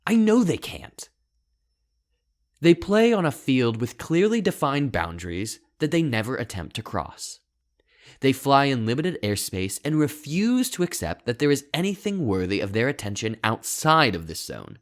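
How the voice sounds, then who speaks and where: A man voicing an obsessive, self-absorbed young outcast in a storybook monologue, deliberate and theatrical, with intense, hushed turns. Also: medium pitch, big pitch swings, voice pushed up, close to an everyday voice. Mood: contemptuous, defiant. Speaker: author reading own short story. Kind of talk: first-person dramatic monologue, scripted narration.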